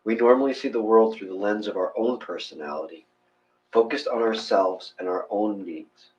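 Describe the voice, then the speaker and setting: Low-voiced man leading a gentle meditation. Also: lo-fi sound, slight background noise. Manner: measured, gentle, instructional. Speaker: guided meditation leader. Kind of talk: guided meditation, reading aloud from a book.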